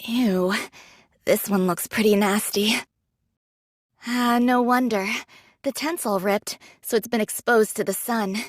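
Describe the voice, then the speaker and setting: A woman's voice: mid-range voice, fairly even intonation, slow, no echo, studio quality. An actress playing a modest, pragmatic young female android soldier in an anime game, talking in a casual, thoughtful, matter-of-fact way.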